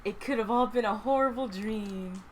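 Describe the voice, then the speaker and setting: A woman hosting a game let's play, reading narration aloud and reacting in a playful, excitable way. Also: fairly high pitch, moderate pace, heavy background noise.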